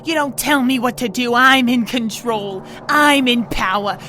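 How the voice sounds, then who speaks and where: Woman acting a slow, gloating villainous pony mare. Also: fairly high pitch, pushed and bright, soft background music. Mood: domineering. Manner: theatrical, taunting, drawn-out delivery. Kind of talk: dramatic audio drama acting.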